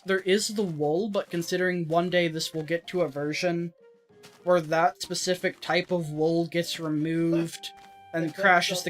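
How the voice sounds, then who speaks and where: A streamer chatting casually through a let's-play, rambling with lots of filler words and getting a little raspy. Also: medium pitch, fairly bright tone. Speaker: streamer playing an old game version.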